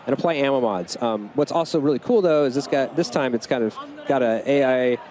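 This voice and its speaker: A man's voice: mid-range voice, fairly quick, a little mellow. A man being interviewed as a game developer, explaining things in a casual, conversational way. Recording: clear background noise from busy venue.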